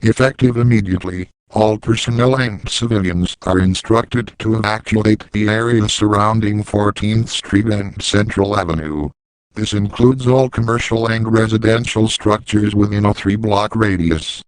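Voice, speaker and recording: Low, clear man acting as emergency broadcast announcer. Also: no room sound, lightly acted, rough recording. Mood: grave, urgent. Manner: measured, official, deadpan. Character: calm, stern 1980s male civil defense emergency announcer.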